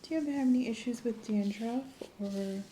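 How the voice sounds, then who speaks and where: A soft-spoken woman, often whispering, doing an ASMR medical exam roleplay in a gentle, clinical manner. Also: on the high side, a little fast, slight background noise, low-quality recording. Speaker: ASMR performer playing a medical examiner.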